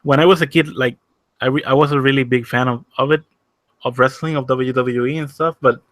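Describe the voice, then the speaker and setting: A young man in his early twenties chats in an easy, unhurried way and hedges his words with fillers. His voice sits in a middle range. The sound is dry and close. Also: mid-range voice, steady tone, a bit bright, dry recording. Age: 20s. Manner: laid-back, hesitant with fillers. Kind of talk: casual chat.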